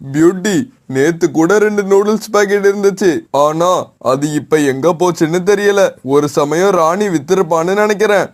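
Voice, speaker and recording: A man's voice: high-pitched, moderate pace, lightly acted. A man voicing a mild, earnest adult male pigeon shopkeeper in a children's cartoon. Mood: puzzled, helpful.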